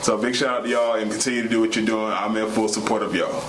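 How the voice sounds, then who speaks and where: A young man with a low voice speaks earnestly to his viewers. He talks casually and circles back over his words. The room is echoey, with clear noise behind him. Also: little pitch movement, quick pace. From United States. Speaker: vlog co-host. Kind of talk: casual sincere talk to viewers.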